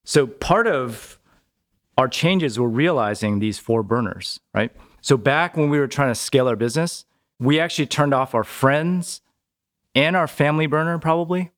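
A man's voice: medium pitch, moderate pace. Chatty man telling business stories. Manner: relaxed, chatty, tags sentences with "right?". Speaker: podcast guest, business owner. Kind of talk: casual conversational interview.

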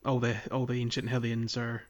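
A man's voice: mid-range voice, monotone, a little fast, a crowd in the background. A man hosting a casual gaming podcast, talking in an enthusiastic, geeky way. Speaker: podcast host. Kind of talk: casual game discussion podcast.

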